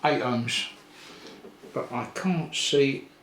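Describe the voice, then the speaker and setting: A man's voice: medium pitch, a bit bright. Older man in an echoey room. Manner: unhurried, thinking aloud with pauses. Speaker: electronics repair presenter. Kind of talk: casual hands-on tutorial narration. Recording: roomy echo with faint background noise.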